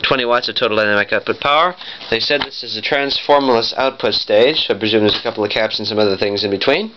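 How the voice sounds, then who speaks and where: A man's voice: fairly low pitch, quick pace. A man giving a casual, rambling product demonstration, often correcting himself as he goes. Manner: rambling, off-the-cuff, self-correcting. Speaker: vintage audio collector demonstrating equipment. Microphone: handheld camera. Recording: dull lo-fi camera mic with noise.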